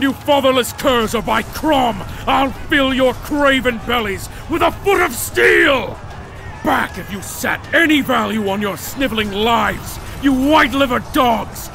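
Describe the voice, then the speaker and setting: A fierce barbarian warrior in a fantasy audio drama, bellowing in a very high-strained, gravelly voice. He is commanding and scornful, drawing his words out slowly with forceful edge. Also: heavily acted. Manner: roaring, commanding, contemptuous. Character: savage, fierce male barbarian warrior rallying troops.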